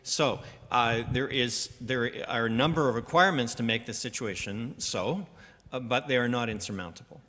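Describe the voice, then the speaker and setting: A man speaking on a conference panel, answering audience questions in a measured, explanatory way with some hesitations. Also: mid-range voice, sharp tone. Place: large conference hall. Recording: reverberant conference hall.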